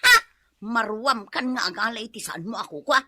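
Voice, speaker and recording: A woman's voice: animated, normal speed, no room sound, studio quality, heavily acted. A woman with an Ilocano accent voicing a furious, scolding wife in a comedy radio drama. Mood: suspicious, scolding. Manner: shrill, scolding, shouting. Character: furious nagging wife who throws plates at her husband.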